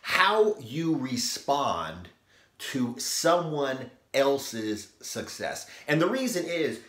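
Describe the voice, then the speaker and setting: A man's voice: medium pitch, very animated, drawn-out words, fairly bright tone, echoey room. A man in his forties giving a blunt, emphatic motivational talk to the camera in a small room. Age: 40s. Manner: emphatic, blunt, repeats "okay?". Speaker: fitness vlogger. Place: small room, plain grey backdrop.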